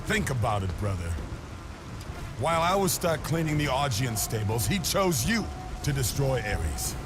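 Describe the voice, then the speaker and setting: Bitter braggy demigod brother for a game, slow taunting delivery, pitch jumps all over. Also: male voice, very animated, over music. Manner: theatrical, taunting, emphatic. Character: boastful, bitter, jealous muscular demigod brother. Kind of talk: dramatic video game acting.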